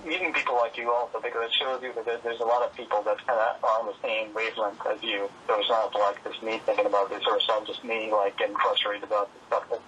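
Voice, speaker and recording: A man's voice: on the low side, level tone, fast talker, few pauses, bright, forceful tone. A man chatting casually as an interview guest over a muffled, noisy remote connection. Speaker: interview guest, comic publisher. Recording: dull, noisy, distant remote-call line.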